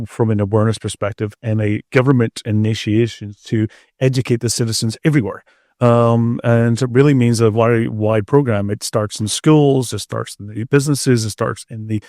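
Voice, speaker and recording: Middle-aged male expert guest, medium pitch, dry studio-like sound, explanatory, conversational. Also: steady tone, moderate pace, darkish tone, no echo. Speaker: expert guest, security researcher.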